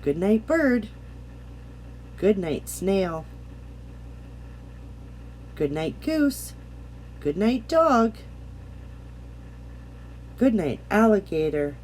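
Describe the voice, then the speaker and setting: A woman's voice: medium pitch, big pitch swings, very slow, long pauses, darkish tone, slight background noise, low-quality recording. A woman voicing a timid, wondering small boy in a children's bedtime storybook, in a childlike way. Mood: happy, tender.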